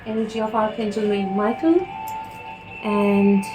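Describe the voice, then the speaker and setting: A woman's voice: medium pitch, flat delivery, measured pace, over music, low-quality recording. A woman from India with an Indian accent, giving a channelled tarot reading and speaking hesitantly, with many fillers and restarts. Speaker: tarot reader.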